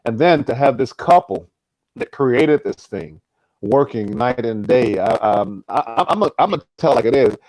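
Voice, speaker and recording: A man's voice: mid-range voice, expressive, moderate pace, darkish tone, dry recording, low-quality recording. A man giving unscripted remarks as an actor on a live Q&A panel, effusive and often stammering over repeated starts.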